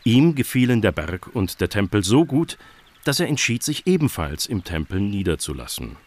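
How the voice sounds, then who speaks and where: A man's voice: lowish voice, big pitch swings, moderate pace. A man narrating a scripted children's story as the narrator of a radio play.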